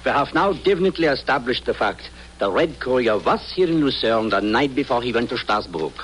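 An actor playing a polite, deliberate European police inspector with formal, precise speech, in old-time radio drama style. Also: male voice, medium pitch, very animated, a bit bright, faint music behind. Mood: formal, careful. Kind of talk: 1940s-50s radio drama acting. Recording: slightly noisy old radio recording.

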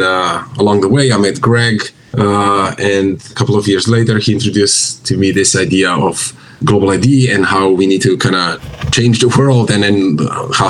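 A man's voice: sits low, poor audio. A man, a CTO describing his background casually in a podcast interview. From Slovenia. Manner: casual, frequent "kinda". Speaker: guest, company CTO.

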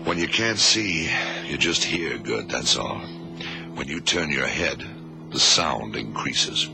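Very deep, gravelly government agent. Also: male voice, animated, over music, heavily acted. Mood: measured, authoritative. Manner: measured, explanatory, commanding. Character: Lee, cool, authoritative American male government agent. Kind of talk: radio drama acting. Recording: noisy old radio recording.

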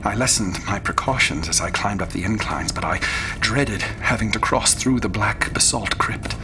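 An actor playing a haunted explorer in a radio horror drama, narrating a terrifying ordeal with intense, deliberate and sometimes hushed phrasing. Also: male voice, on the low side, close to an everyday voice. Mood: fearful, panicked. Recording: clear background noise and ambience.

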